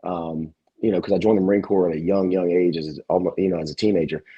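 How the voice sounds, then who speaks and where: Deep, husky-voiced man from Louisiana. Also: fairly even intonation, dark tone, lo-fi sound. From South Louisiana. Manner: easygoing, halting with fillers. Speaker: gym owner guest. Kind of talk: casual conversation.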